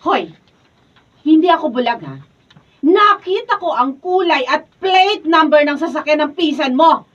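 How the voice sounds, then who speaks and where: A woman's voice: sits high, sing-song, a little slow, fairly bright tone, exaggerated voice. An actress playing a hot-tempered, scolding village chairwoman and landlady in a Filipino radio drama, with an indignant, confrontational delivery. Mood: furious, accusing. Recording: distant mic, dull lo-fi room recording.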